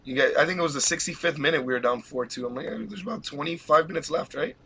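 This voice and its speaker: Bright-voiced excited male podcast host. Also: fairly high pitch, fast, slight background noise. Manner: excited, rambling, laughs often. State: has a cold. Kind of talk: casual live podcast chat.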